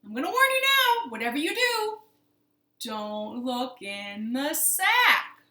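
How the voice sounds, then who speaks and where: Sly male fox for a folktale, voiced by a woman. Smug, lilting delivery, highish pitch, roomy sound. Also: sing-song, a little slow, fairly bright tone, exaggerated voice. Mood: warning. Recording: roomy, echoing room sound.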